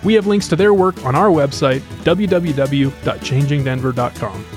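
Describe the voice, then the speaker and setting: A man's voice: fairly high pitch, normal speed, over music. An American man hosting a podcast, interviewing guests and reading scripted segments.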